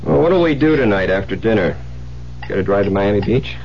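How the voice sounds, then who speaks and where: Gravelly, low-voiced easygoing husband. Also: male voice, expressive, fairly quick. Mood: jovial. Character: jovial, easygoing newlywed husband, tired from fishing. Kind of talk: 1970s radio drama acting. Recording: muffled, noisy 1970s radio recording.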